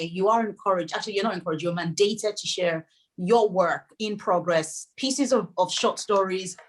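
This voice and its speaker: A woman's voice: medium pitch, fairly bright tone, rough recording. A woman novelist from Nigeria whose first language is Yoruba, giving thoughtful interview answers with frequent restarts over a video call.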